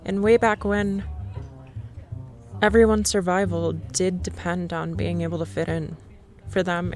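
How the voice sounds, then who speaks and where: A shy young woman talks softly in a slightly low voice, rambling nervously and laughing at herself. It is a scripted ASMR roleplay, with faint music behind her.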